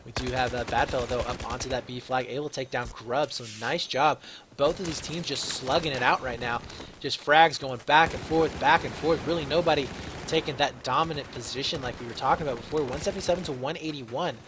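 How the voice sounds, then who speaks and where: A young man doing solo play-by-play commentary on an online shooter match, his voice slightly raspy from a long day, filling pauses with "uh" and getting excited on big plays. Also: mid-range voice, noisy background. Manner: chatty, fills with "uh", grows excited on big plays. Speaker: shoutcaster.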